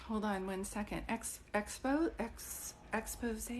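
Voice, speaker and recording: A woman's voice: medium pitch, slow, a little hiss or hum. A middle-aged woman working as a psychic medium, chatting casually on a live stream, thinking aloud and often stopping to restart a word.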